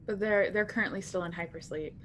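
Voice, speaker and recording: A woman's voice: medium pitch, quick pace, slight background noise. A woman playing a tabletop roleplaying game, talking conversationally as her character.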